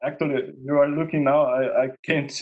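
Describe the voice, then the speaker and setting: A man with a Portuguese accent, a native Portuguese speaker, walks through a software demo on a video call in a halting way. He repeats words and often ends a point with a questioning "okay?". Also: fairly high pitch, fairly even intonation, lo-fi sound. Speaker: contest winner presenting his project. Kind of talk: screen-share demo in an online meetup.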